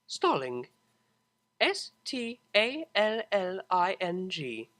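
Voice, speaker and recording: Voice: mid-range voice, huge pitch swings, takes it slowly, a bit bright, pitched above the performer's own voice, full character voice. A performer voicing a refined, awkwardly polite and impatient young mare in an audiobook. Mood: exasperated. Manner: polite, prim, exasperated under courtesy. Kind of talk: audiobook character voice.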